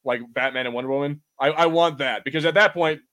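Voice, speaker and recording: Guy podcaster talking really fast, slightly high voice, slangy riffing, swears some. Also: sharp tone. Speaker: podcast co-host. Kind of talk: casual watch-party chat.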